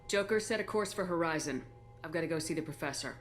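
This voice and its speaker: Actress as a composed, low-voiced female commander. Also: soft background music, close to an everyday voice. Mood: resolute. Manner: firm, measured, direct. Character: composed, resolute female military starship commander. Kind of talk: video game acting. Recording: game capture with ambient noise.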